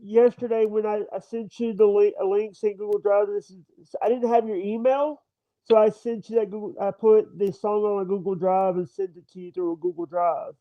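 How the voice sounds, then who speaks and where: A man from Mississippi hosting his own stream, with a stutter, hesitant and halting as he restarts his sentences. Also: sits high, level tone, dry recording. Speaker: streamer host. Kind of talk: casual chat. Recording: dull lo-fi sound.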